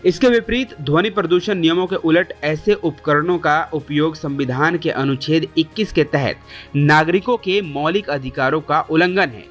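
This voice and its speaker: A man with a slightly high voice narrates a scripted news explainer over a music bed. His delivery is formal, and he poses questions to viewers as a presenter does. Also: moderate pace, fairly bright tone, muffled recording.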